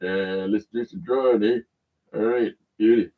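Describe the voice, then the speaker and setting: A man's voice: on the low side, fairly even intonation, unhurried, far from the mic, phone-quality sound, natural voice. A man voicing a laid-back, friendly male pet with a folksy, casual Canadian drawl. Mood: easygoing.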